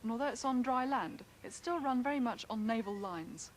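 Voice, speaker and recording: A woman's voice: on the high side, animated, fairly quick. British newswoman narrating, faint hiss. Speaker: news reporter. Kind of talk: scripted documentary narration. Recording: faintly noisy archive newsreel.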